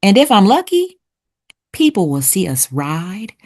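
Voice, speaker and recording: Lady reading a kids picture book, real slow, creaky low-ish voice, careful teacher-style expression. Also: huge pitch swings, darkish tone. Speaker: read-aloud host and narrator. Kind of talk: children's picture book read-aloud with vocabulary teaching.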